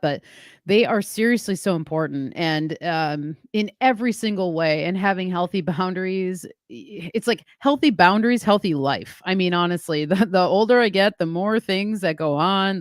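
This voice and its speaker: Woman host rambling easy with um and like, lowish voice, dry close sound. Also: no room sound. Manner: rambling, many ums and likes. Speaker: podcast host. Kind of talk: casual spiritual podcast chat.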